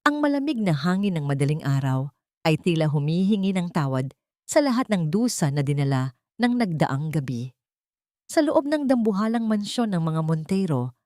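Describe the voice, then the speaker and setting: A woman's voice: deep voice, a little mellow, dry recording, studio quality. A woman with a Filipino accent reads a scripted dramatic audio story as the storyteller.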